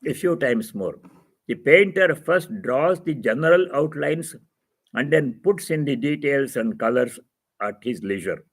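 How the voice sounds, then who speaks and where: A man with a husky voice giving a spiritual teacher's reading and commentary, in halting phrases with repetition and explanatory asides. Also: mid-range voice.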